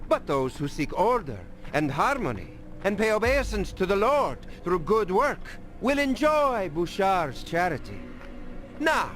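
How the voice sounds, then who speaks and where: Game villain: brash young lord, high voice, swinging pitch, swaggering, music behind. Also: male voice, fairly bright tone, heavily acted, low-quality recording. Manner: swaggering, declamatory. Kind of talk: dramatic video game acting.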